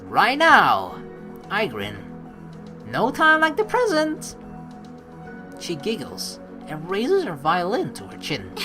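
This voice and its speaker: A woman hosting a let's play, informal and animated, mixing game commentary with reading dialogue aloud. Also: mid-range voice, slow pace, long pauses, music in the background.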